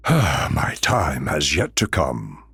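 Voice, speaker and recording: Game veteran: grave old fighter, low gravelly voice, solemn. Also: male voice, big pitch swings, drawn-out words, fairly bright tone, no echo, faint music behind, full character voice.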